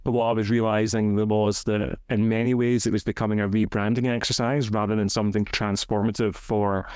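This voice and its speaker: A man in his forties with a Glaswegian Scottish accent, an education professor explaining his career in a measured, articulate way as a podcast guest. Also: lowish voice, little pitch movement, a little mellow, smooth, no room sound, clean studio sound. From Scotland. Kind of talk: podcast interview, reflective explanation.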